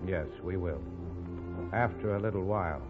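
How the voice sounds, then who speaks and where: A man's voice: deep voice, normal speed, music in the background, close to an everyday voice. Man acting a doomed romantic fugitive, tinny old radio. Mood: tender, wistful. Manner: earnest, romantic, melodramatic. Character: doomed fugitive in love, tender and resolute. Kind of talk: 1940s radio drama acting.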